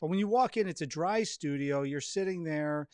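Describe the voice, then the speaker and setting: A man's voice: on the high side, normal speed, a little mellow, no echo. A man hosting a casual interview chat, talking freely and repeating phrases for emphasis. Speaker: podcast host.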